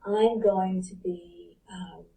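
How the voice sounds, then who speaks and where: Woman guest coach: very dark, mellow voice, emphatic and repetitive, heard from a distance on the mic. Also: medium pitch, fairly even intonation, takes it slowly, vocal fry. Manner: emphatic, builds points by repetition. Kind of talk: reflective spiritual talk. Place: online live audio room.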